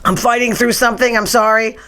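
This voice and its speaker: A woman's voice: fairly high pitch, steady tone, fast, pushed and bright, a little hiss or hum. A blunt, sarcastic woman hosting a casual talk show, speaking. Her voice sounds strained and worn, as if she nearly lost it. She rants in a chatty, freewheeling way. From New England. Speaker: podcast host.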